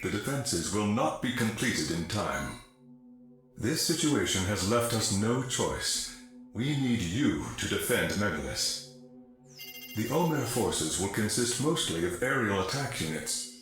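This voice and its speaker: An actor playing an earnest, grave official in a video game, formally requesting military help. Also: male voice, fairly low pitch, sing-song, a little slow, a bit bright. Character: earnest, urgent male official pleading for defense. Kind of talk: scripted mission request, video game voice acting. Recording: very echoey, faint music behind.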